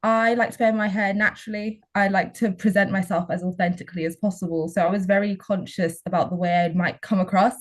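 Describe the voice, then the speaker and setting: A woman's voice: mid-range voice, fairly even intonation, fast talker, few pauses. A young woman, a final-year student, talking openly and upbeat on a panel. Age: young adult. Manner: open, upbeat, reflective. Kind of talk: panel discussion.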